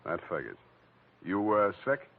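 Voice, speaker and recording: An actor playing a wry, world-weary American private detective, hard-boiled and clipped, in 1940s radio noir narration and dialogue. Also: male voice, fairly low pitch, big pitch swings, unhurried, no room sound, full character voice. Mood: dry, patient. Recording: thin, narrow-band 1940s radio recording.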